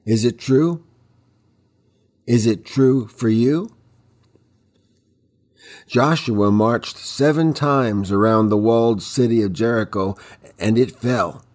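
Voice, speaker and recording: Slow, husky-voiced man giving a devotional teaching. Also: medium pitch, a little mellow. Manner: exhorting with reflective rhetorical questions.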